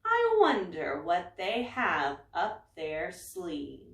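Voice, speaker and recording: A woman's voice: lowish voice, huge pitch swings, drawn-out words, fairly bright tone, distant mic. A woman reading a rhyming picture book aloud in a dramatic, emphatic way. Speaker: story reader. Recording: echoey room, away from mic.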